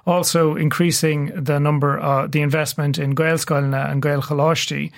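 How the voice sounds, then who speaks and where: A man with a Dublin Irish accent, a curator speaking as a radio guest, measured and fact-heavy, with frequent filler sounds. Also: fairly high pitch, little pitch movement, vocal fry. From Ireland. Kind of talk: radio interview, explanatory.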